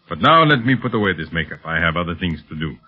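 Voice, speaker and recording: An actor playing a smug, cold criminal mastermind with imperious, formal speech in a 1930s radio serial. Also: male voice, sits low, very animated, quick pace, slightly rough, exaggerated voice. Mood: scheming, assured. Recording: muffled, lo-fi 1930s radio recording.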